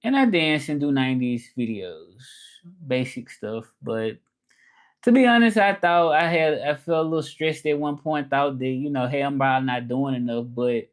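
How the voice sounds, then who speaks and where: Man, Southern American accent, live-stream host, casual chat, English and French, repetitive, mid-pitched. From Memphis, Tennessee, USA. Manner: repeats phrases, talks straight to viewers, switches languages mid-thought. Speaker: live streamer and language teacher.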